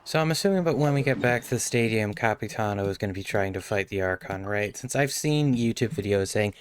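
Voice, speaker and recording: A man's voice: on the low side, brisk, a little hiss or hum. A man giving casual live commentary as he streams a video game.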